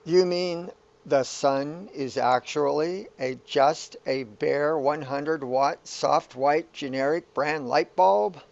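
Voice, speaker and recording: A man's voice: fairly high pitch, slow pace, creaky, a little mellow. A man playing an anxious, bewildered hero in a radio play, fretful and pleading, on a dull lo-fi recording. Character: anxious, bewildered hero stranded in a surreal world.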